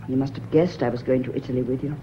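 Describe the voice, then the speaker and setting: An actress playing an anxious, brooding secretary and secret lover in a British radio drama, nervy and intense, with a British accent. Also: female voice, extremely low pitch, fairly even intonation, fast talker, lo-fi sound, background noise. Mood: haunted, anxious. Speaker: actor in a radio play.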